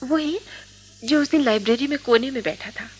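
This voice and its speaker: A shy young college girl in love, acted by a woman for a radio play. Her voice is on the high side, coy and emotional, with a hesitant, dreamy way of speaking. Noticeable noise sits behind the recording. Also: normal speed, close to an everyday voice. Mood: coy curiosity. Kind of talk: Hindi radio drama acting.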